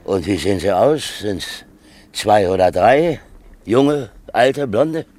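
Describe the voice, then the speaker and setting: An actor playing a gruff, blunt, grumbling old working man in a German radio play. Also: fairly low pitch, expressive, slow, darkish tone, a little hiss or hum. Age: older.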